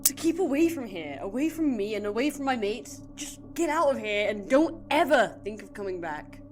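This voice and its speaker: A woman's voice: a little high, lively intonation, moderate pace, darkish tone, a little hiss or hum, lightly acted. A woman playing a hurt, defiant young companion in an audio drama, confronting someone with bitter, emotional accusations. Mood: cold, wounded.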